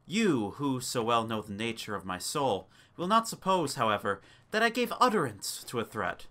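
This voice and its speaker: A man giving a dramatic scripted narration of a gothic tale, with theatrical, deliberate phrasing. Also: medium pitch, very animated, sharp tone.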